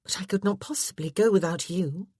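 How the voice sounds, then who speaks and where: A woman's voice: mid-range voice, fairly quick, mellow, husky, no echo, studio quality, lightly acted. A woman voicing an earnest, polite wife in a period romance audiobook, with a pleading manner. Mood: earnest protest.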